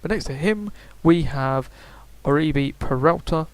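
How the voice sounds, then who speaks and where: A man's voice: on the high side, a little slow, no echo. A young man giving casual, rambling video game predictions, full of fillers and self-corrections. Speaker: gaming YouTuber.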